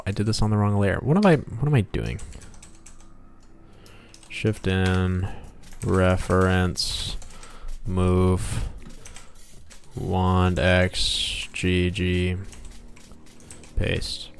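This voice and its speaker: A man's voice: deep voice, vocal fry, slight background noise. A man hosting a casual game developer livestream, rambling playfully, talking to himself and to viewers as he works. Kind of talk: casual livestream chat while drawing, reading out viewer comments.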